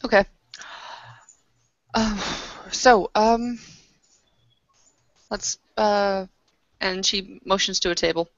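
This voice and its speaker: Slow, hesitant woman playing a roleplaying game. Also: mid-range voice, dry recording. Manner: hesitant, piecemeal delivery. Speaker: tabletop roleplaying player. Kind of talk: casual improvised roleplay and narration. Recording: dry, slightly muffled voice-chat audio.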